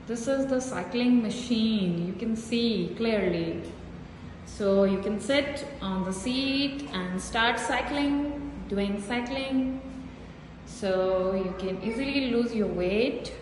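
A woman vlogger giving a slow, simple walk-through of a small indoor gym, filming on her phone and pointing out each machine. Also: on the high side, slight background noise. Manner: simple repetitive pointing-out explanations. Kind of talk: casual gym tour vlog. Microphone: phone held while filming. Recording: echoey room with hall reverb.

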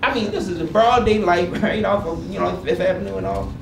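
A middle-aged American man speaking on a panel, reading a prepared statement with asides in an emphatic, deliberate, sometimes halting way. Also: high-pitched, creaky. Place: indoor panel room. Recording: roomy, distant microphone, noisy background.

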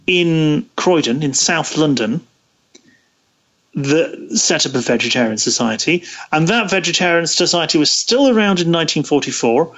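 A man being interviewed, explaining history conversationally in a hesitant, halting way with frequent pauses mid-phrase. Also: a little high, a bit bright, lo-fi sound. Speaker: guest, interviewee.

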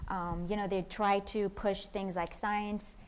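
A woman on a conference stage speaks earnestly, pausing and restarting as she thinks aloud. Her voice sits at an ordinary middle pitch. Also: level tone, a little hiss or hum. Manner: earnest, thoughtful, halting restarts. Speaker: panel speaker. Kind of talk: conference panel answer.

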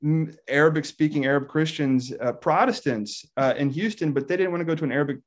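A man in his thirties from Texas, a scholar talking as a guest on a video call, animated, laughing often and restarting his sentences. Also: a little high, brisk. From Texas, United States. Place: indoor room with plain wall. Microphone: video call webcam.

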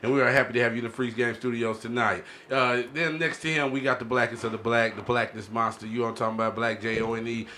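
A man's voice: mid-range voice, flat delivery, fast, few pauses, pushed and bright, slight background noise. A man hosting a casual podcast with slangy, hype-man banter full of repeated catchphrases, close on a studio mic. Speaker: podcast host. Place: small indoor podcast studio. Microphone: close studio microphone on boom arm.

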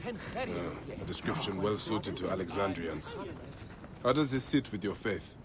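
Bayek, a grave warrior. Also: male voice, deep voice, expressive, crowd noise. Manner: grave, measured, warm with children. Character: Bayek, stern Egyptian warrior man. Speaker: game protagonist.

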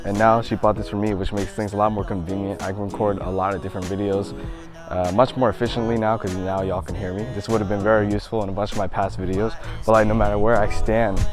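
A young man with a soft, quiet voice, talking casually to the camera through a clip-on mic, first out on a suburban street, then inside a restaurant. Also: fairly low pitch. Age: young adult. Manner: soft-spoken, quiet, easygoing. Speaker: vlogger. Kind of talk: casual vlog talk to camera. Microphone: clip-on lapel mic.

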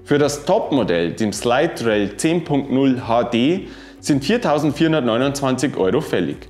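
A man in his thirties giving a scripted bike review, reading specifications in an even, informative way. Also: mid-range voice, moderate pace. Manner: even, informative delivery dense with specs. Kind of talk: scripted product review narration. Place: wooden-walled workshop room, indoors. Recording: roomy sound with faint music.

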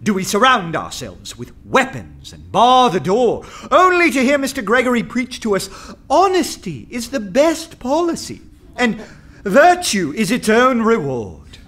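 A defiant poet orating in a radio drama. The voice is high for a man and very lively, full of scorn as he rouses his comrades. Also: measured pace, heavily acted. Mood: scornful, rousing. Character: defiant undercover poet rousing anarchists with scornful oratory. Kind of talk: radio play oratory.

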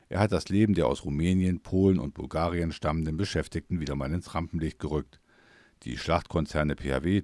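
A man's voice: rumbling low, a little mellow, no room sound, clean studio sound. A man reading a scripted news voice-over as a reporter.

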